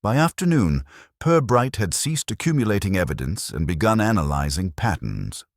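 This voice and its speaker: A man narrating a scripted mystery story in a measured, formal storytelling manner. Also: low voice, big pitch swings, no room sound, studio quality.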